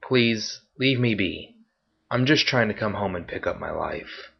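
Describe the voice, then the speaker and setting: A man's voice: on the low side, expressive, a little slow, gravelly, breathy edge, full character voice. A man voicing a weary, guarded male war veteran who speaks tersely. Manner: terse and restrained. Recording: dull lo-fi recording.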